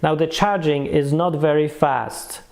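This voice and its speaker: A man with a slightly high voice and a distinctly creaky, gravelly edge talks through a review in a casual, unscripted way. He is emphatic and repeats himself to drive points home, with a dry, offhand sense of humour. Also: unhurried. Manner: animated, emphatic, repeats words for effect. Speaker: product reviewer.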